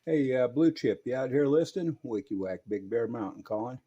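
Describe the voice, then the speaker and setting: A man's voice: mid-range voice, animated, a little fast, lo-fi sound, very noisy. An American man giving an informal, hands-on repair walkthrough as a technician, using technical hobbyist jargon. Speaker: radio technician and collector presenting restoration.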